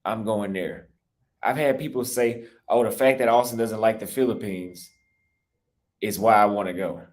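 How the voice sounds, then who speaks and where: A man's voice: on the low side, normal speed. A young American man livestreaming as a travel vlogger, talking casually and defensively in long rambling stretches with restarts, his voice a bit cracked. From United States. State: voice slightly cracked. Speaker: travel YouTuber live-streaming. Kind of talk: casual livestream talk reading super chats.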